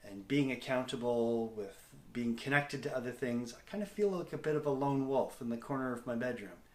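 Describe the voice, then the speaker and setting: A middle-aged man with a Canadian accent talking casually to a video diary in a candid, self-deprecating, halting way. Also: mid-range voice, lively intonation. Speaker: comedic actor and video diary host. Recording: echoey, roomy sound.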